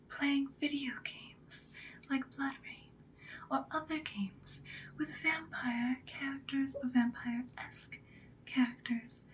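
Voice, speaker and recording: Lady doing a whispery vampire queen for asmr, super slow n soothing but predatory, bit of hiss behind. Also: high-pitched, rough recording. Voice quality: breathy whisper. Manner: slow, deliberate, hypnotic soothing. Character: seductive vampire queen, soothing and predatory, addressing her prey.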